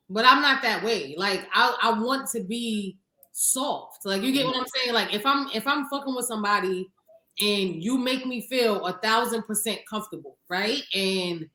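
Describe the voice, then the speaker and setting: A woman in her late thirties with a Harlem accent and a deep voice, co-hosting a podcast and talking bluntly and reflectively, with some profanity. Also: pushed and bright, echoey room, rough recording. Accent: Harlem New York. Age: 30s. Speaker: podcast co-host. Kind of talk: casual chat.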